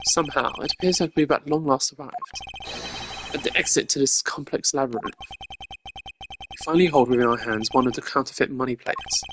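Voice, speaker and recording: A performer voicing a composed, logical male prosecutor who speaks in a measured, formal and precise way. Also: mid-range voice, noisy background, close to an everyday voice.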